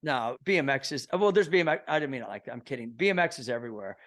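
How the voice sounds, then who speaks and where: A middle-aged man from Kansas City hosting a podcast in a casual, excitable way, full of slang. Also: medium pitch, very fast. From Kansas City, USA. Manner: excitable, slangy, says "bro" and "dude" a lot. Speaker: podcast host. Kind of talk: casual interview chat.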